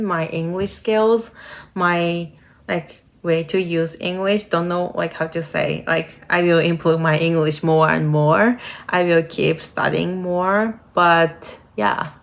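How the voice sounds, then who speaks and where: A woman's voice: on the low side, fairly even intonation, slow, vocal fry. A young woman from Japan with a Japanese accent, talking casually and at length, full of filler words. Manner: talkative, uses many "like" fillers. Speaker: vlogger and nursing student. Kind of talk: casual chat to camera. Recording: guitar music playing behind.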